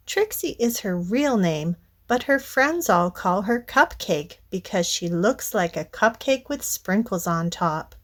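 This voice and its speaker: A woman narrating a children's storybook read-aloud in a deliberate way, addressing young listeners directly. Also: mid-range voice.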